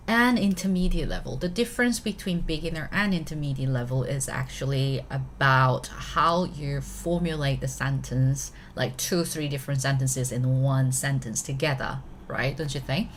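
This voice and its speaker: Woman, korean accent, teacher, lower voice, chatty, faint hiss behind. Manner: chatty, checks in often with "right?"; switches between English and Korean. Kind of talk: live-streamed language lesson.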